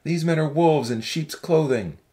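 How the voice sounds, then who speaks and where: A man's voice: fairly high pitch, a little slow, dark tone, lightly acted. A man voicing a fervent, suspicious young religious heckler in a dramatized audiobook, accusing and declamatory. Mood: accusing, alarmed.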